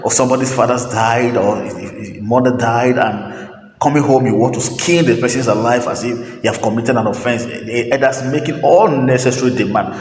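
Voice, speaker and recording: A man hosting a talk, speaking his opinions in an emphatic, preachy monologue, repeating phrases and pausing on fillers. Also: mid-range voice, a little hiss or hum. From Nigeria. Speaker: host. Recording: roomy echo with long reverb, faint ambience.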